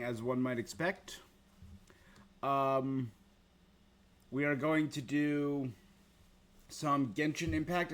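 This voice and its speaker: A man hosting a gaming livestream, chatting casually and informally with his viewers in a laid-back way. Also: a little high. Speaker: game streamer, host.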